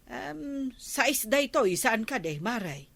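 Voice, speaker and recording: A woman's voice: a little high, very animated, takes it slowly, gravelly, fairly bright tone, no room sound, exaggerated voice. A woman playing a nosy, thrifty village captain's wife in an Ilocano comedy radio drama, conversational and questioning. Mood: chatty, haggling.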